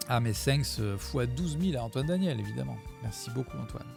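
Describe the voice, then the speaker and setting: A man's voice: medium pitch, expressive, fairly quick, creaky, over music. A man streaming live, commenting on his own gameplay video with a chat, rambling and joking as he thanks his followers.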